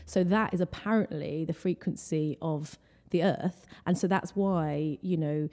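A woman's voice: deep voice, measured pace, warm tone, in a large hall. An English woman, a guest, chatting casually and explaining things at length with plenty of fillers. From England. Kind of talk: casual interview chat.

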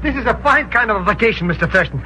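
An actor playing an excitable, fussy comic sidekick in a 1950s radio drama, indignant and rattled. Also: male voice, high voice, racing, noisy background.

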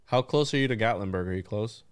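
A man at a mid pitch hosts a podcast, trading jokey conspiracy theories and casual swearing. His voice is smooth and clear, recorded close and dry. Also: quick pace, no room sound. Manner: joking, conspiratorial banter with swearing. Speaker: podcast host.

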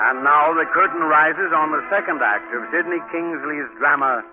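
A man's voice: fairly high pitch, moderate pace, phone-quality sound, over music. A man making formal, stately scripted announcements for a 1940s radio show. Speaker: program announcer. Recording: thin old radio recording.

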